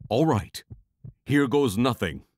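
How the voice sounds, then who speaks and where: A man's voice: medium pitch, expressive, takes it slowly, a little mellow, slight background noise. A man playing a wry, pragmatic lightning mage who reasons aloud in a fantasy audio drama. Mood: wry, apprehensive.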